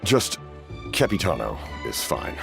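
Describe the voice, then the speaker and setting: An actor playing a solemn, honourable, centuries-old warrior captain in a video game, speaking in a formal, grave, measured way. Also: male voice, low voice, wildly swooping pitch, creaky, music in the background, full character voice. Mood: solemn, reflective. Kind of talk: scripted video game voice acting.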